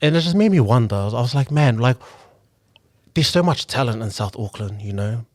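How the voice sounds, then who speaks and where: A young man from New Zealand, a guest on a podcast, talking earnestly and reflectively with frequent pauses and restarts. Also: medium pitch, animated, a little mellow, no room sound, clean studio sound. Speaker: guest, local politician and engineer. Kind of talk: casual podcast conversation.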